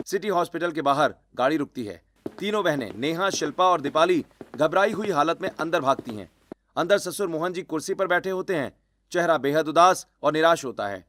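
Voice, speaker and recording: A man's voice: a little high, lively intonation, brisk, fairly bright tone, a little hiss or hum. A man reading scripted, dramatic story narration.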